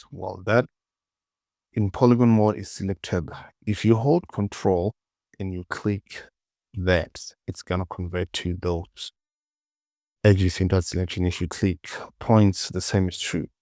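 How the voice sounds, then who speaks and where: A man narrating a casual step-by-step software tutorial in short instructions, often repeating phrases and asking himself questions. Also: deep voice, fairly even intonation, measured pace, dry recording, studio quality.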